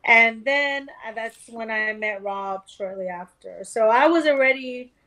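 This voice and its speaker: A woman's voice: fairly high pitch, unhurried, pushed and bright. A middle-aged woman guest from New York on a casual podcast, telling her story candidly and swearing freely. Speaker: guest author.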